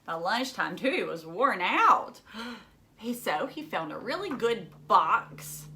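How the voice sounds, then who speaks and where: A woman teacher reading a children's storybook aloud, expressive and dramatic, as if to young listeners. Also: medium pitch, slow.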